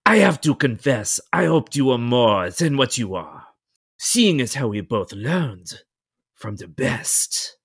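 A raspy French circus villain for a motion comic, swings grandly through each line at a slow, pompous pace. He is smug and scornful, with faint music behind him. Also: mid-range voice, sing-song, no echo, clean studio sound, exaggerated voice. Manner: pompous, theatrical.

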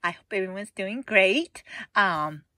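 Woman, hoarse voice, bright forceful tone, casual rambling chatter, home vlog on a phone. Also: mid-range voice, lively intonation, a little slow, no room sound, studio quality. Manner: chatty, rambling with many fillers and restarts. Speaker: vlogger. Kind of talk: casual unboxing chat.

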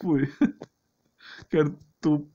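A man's voice: on the high side, level tone, drawn-out words, mellow, dull audio, slight background noise. A young man with a Brazilian accent giving casual, giggly commentary on a game video. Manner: informal, giggly. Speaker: gameplay video creator.

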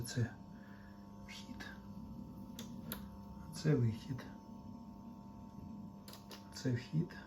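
Male hobby technician, unhurried, matter-of-fact, fragmentary hands-on commentary, slightly noisy home recording. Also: mid-range voice, warm tone, highs cut off. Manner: matter-of-fact, talking in short fragments while working. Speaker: hobbyist repair technician presenting a demonstration. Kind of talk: unscripted technical demonstration, talking through measurements.

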